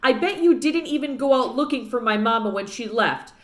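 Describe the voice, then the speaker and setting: A woman's voice: a little high, expressive, fairly quick, voice pushed up, close to an everyday voice. A woman voicing a stubborn, upset young girl pleading with her father in a children's book read-aloud, recorded with roomy echo. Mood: defiant, angry.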